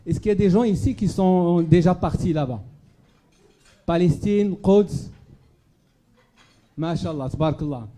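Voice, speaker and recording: Man from Morocco, public charity speech, hesitant with many fillers, warm dark timbre. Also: high-pitched, measured pace, pauses often. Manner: hesitant, many fillers and restarts, audience questions. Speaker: charity speaker at a fundraising gala. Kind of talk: public speech to a live audience. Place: banquet hall at a gala dinner.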